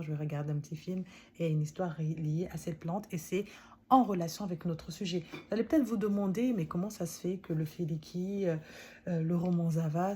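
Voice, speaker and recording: A woman's voice: lowish voice, warm tone, a little hiss or hum. A woman in her thirties, not a native French speaker, chatting directly to viewers as she presents a book summary. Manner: chatty, addresses viewers directly, laughs often. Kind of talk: casual talk to camera.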